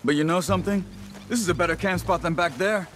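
An actor playing a calm, watchful outlaw gunman in a video game, terse and measured, with an American accent. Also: high-pitched, close to an everyday voice. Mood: cautious. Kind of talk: video game character acting.

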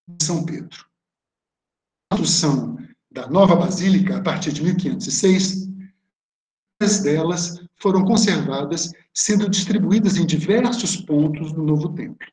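Man, Brazilian accent, lecturer, fairly high voice, echoey video call audio. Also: a little mellow. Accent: Brazilian Portuguese. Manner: reads a prepared text, then explains slides with hesitant fillers. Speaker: art history lecturer. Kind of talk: academic lecture over shared slides. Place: home room on a video call. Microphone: webcam or laptop mic on a video call.

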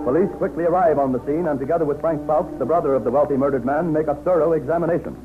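High-pitched dramatic 1930s radio announcer man. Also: rapid-fire, over music. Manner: declamatory, dramatic. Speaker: announcer and narrator. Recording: muffled 1930s radio broadcast recording.

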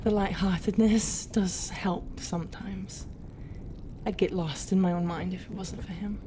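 A woman playing a flustered but tender pirate captain in an ASMR roleplay, halting and often dropping to a half-whisper. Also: mid-range voice, noisy background, close to an everyday voice. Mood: soft, wistful. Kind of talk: ASMR roleplay, soft intimate acting. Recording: steady ambient sound effects behind voice.

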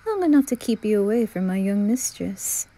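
A woman voicing a composed, cold male demon butler who speaks low and measured. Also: sing-song, mellow, rough recording.

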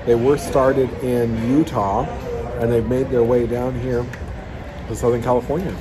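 Man, thirties, casual food vlogger, chatty between bites, mid-pitched voice, phone mic with restaurant chatter behind. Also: level tone, measured pace. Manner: chatty, eating between lines with satisfied hums. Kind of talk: casual food review vlog. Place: indoor restaurant dining room. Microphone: phone held at arm's length. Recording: phone audio with clear restaurant noise. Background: restaurant noise.

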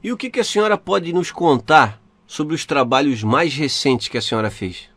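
A man's voice: a little high, lively intonation, moderate pace, a bit bright, no room sound. A man with a Brazilian accent asking prepared interview questions in a formal, respectful manner.

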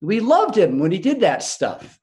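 A man acting an awestruck male disciple, telling the story in a reflective, wondering first-person monologue with emphatic pauses. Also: high voice, wildly swooping pitch, darkish tone, heavily acted. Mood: astonished. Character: awestruck male disciple recounting his teacher. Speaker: actor in a biblical monologue.

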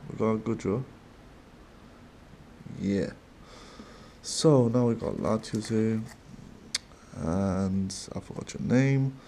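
A man with a non-native English accent talks slowly in a low, mellow voice while he opens card packs at his desk. His speech is halting and chatty, with restarts and little laughs, and a faint room hum sits behind him. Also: vocal fry. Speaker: card-opening channel host. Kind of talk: casual card-opening commentary.

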